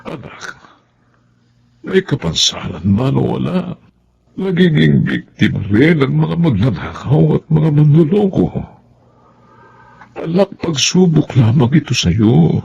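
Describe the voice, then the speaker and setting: Gravelly, animated Filipino father. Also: on the high side, takes it slowly, pauses often, dull audio, slight background noise, exaggerated voice. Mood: tender, consoling. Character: stern, protective middle-aged father, head of household. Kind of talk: Filipino radio drama acting.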